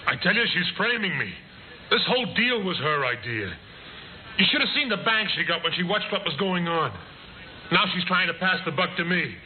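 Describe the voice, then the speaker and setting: Casting: agitated, defiant accused man, high voice, vintage radio crime drama, thin phone-like sound. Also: lively intonation, normal speed. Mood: agitated, accusing. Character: defiant young male murder suspect blaming his accomplice. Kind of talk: 1950s radio drama acting. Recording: muffled, faintly noisy old radio recording.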